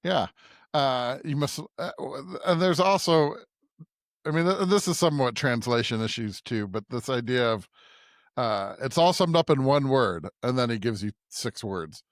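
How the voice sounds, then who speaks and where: Casual male podcaster, middle pitch, halting delivery with repeated words, dry close recording. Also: expressive, no room sound. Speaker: podcast host. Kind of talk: casual discussion.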